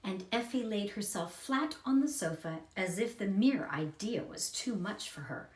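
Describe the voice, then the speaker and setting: A woman's voice: medium pitch, lively intonation, moderate pace. Woman narrating a story, echoey room. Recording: echoey room, distant mic, faint noise.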